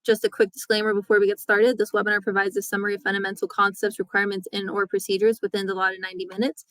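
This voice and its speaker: A woman's voice: medium pitch, rapid-fire, no echo. Woman reading a dry webinar script. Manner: reads from a script, steady and procedural. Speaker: webinar facilitator. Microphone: webinar microphone.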